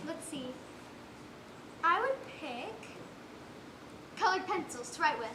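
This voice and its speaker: A woman's voice: extremely high pitch, big pitch swings, slow pace, exaggerated voice. A young female performer playing an earnest, fanciful orphan girl in a stage play, with quaint, wide-eyed phrasing. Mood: dreamy. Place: stage in a roomy hall. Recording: noisy, echoey stage performance recording.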